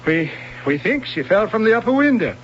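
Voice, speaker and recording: Earnest, halting American police officer for radio drama: high-set voice, dull vintage broadcast sound. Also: male voice, very animated. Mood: concerned. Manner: halting, concerned. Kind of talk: old-time radio drama acting. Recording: dull noisy old radio broadcast, hum.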